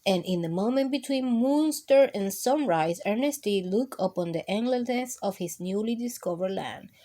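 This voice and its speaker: A woman hosting a children's storytime, reading picture books aloud expressively with drawn-out emphasis for young listeners. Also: medium pitch, lively intonation, warm tone. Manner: drawn-out, emphatic delivery addressed to small children.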